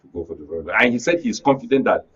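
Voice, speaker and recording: A Nigerian man gives political analysis as a radio studio guest. His voice is husky and swings widely in pitch as he makes points emphatically and deliberately. The sound is slightly dull. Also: very animated, a bit bright.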